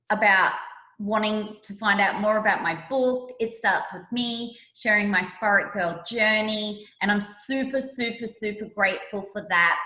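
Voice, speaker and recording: A woman's voice: mid-range voice, sharp tone. A woman hosting a podcast interview in an effusive, emphatic way, recorded in a roomy, echoey space. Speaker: podcast host.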